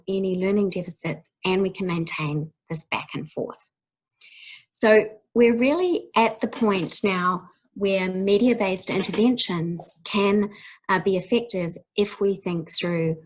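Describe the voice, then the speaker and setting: A woman from New Zealand with a New Zealand accent, giving a measured academic webinar talk as a child development researcher. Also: mid-range voice, poor audio. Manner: measured academic, with frequent ums. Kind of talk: webinar presentation.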